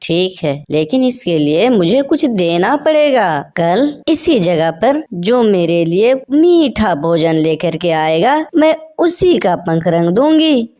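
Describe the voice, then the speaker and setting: A woman's voice: medium pitch, sing-song, slow pace, hardly any pauses, mellow. Woman acting a demanding, grumbly little flower fairy. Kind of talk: animated children's story voice acting.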